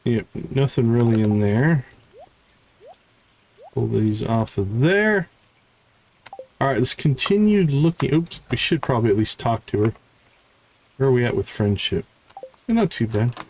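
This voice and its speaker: A man's voice: medium pitch, very animated, a little slow, pauses often, a little mellow, a little hiss or hum, poor audio. A man hosting a livestream, giving casual, chatty commentary as he plays a game. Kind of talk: casual live gameplay commentary.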